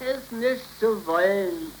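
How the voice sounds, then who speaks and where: A woman's voice: medium pitch, animated, slow pace. Casting: resigned, embittered poor woman, mid-range voice, faintly noisy old radio play. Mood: bitter resignation. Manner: bitter, fatalistic. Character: bitter, resigned poor woman among the jobless. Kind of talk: 1930s radio acting. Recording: noisy vintage 1930s radio recording.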